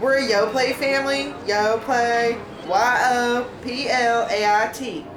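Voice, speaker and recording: Woman as a high-pitched, weary young waitress. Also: steady tone, slow pace. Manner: casual, patronising. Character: youthful, weary rule-bound waitress. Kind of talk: comedic radio play acting. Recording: roomy echo with clear background noise.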